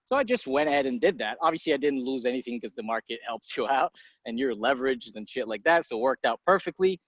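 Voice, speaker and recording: A man in his thirties from Egypt, a podcast guest talking casually and bluntly, swearing freely and tossing off quick asides. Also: medium pitch, expressive, a bit bright, dry recording. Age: 30s. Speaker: guest, entrepreneur. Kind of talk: casual interview talk. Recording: dry muffled podcast audio.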